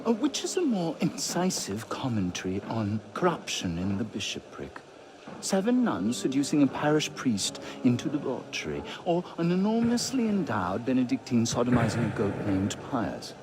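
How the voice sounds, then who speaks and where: An actor voicing a witty, debauched aristocratic marquis in a video game, with a theatrical, arch manner. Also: male voice, mid-range voice, wildly swooping pitch, moderate pace, noisy background. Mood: arch, amused.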